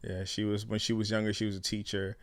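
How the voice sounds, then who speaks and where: A man's voice: on the low side, monotone, fast talker, no echo. A young man born in Ghana and raised in Canada, a guest in a casual interview, talking in a laid-back, slangy way and swearing freely.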